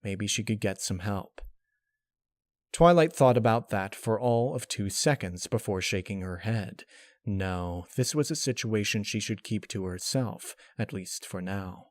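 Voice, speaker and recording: A man reading a scripted piece of fiction as narrator, in a measured, serious, dramatic storytelling manner. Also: lowish voice, no room sound.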